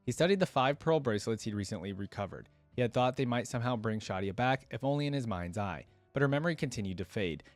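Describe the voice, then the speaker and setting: A man's voice: on the low side, dry recording. Man casually reacting, music behind his close voice. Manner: casual, enthusiastic, slangy. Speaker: reaction video host. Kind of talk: casual reaction commentary and story read-aloud.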